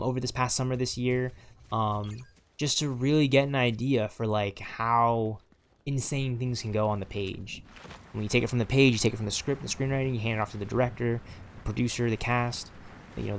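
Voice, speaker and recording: A man's voice: medium pitch, creaky, a little hiss or hum. A man in his twenties talking casually over gameplay, rambling with many fillers and repeats. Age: 20s. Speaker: gaming streamer.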